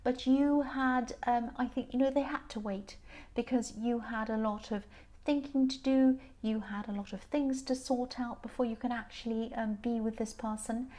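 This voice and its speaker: Tired, croaky woman reading tarot. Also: a little high, steady tone, moderate pace. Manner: gentle, meandering, many ums and restarts. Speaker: tarot reader. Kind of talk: casual tarot reading to camera.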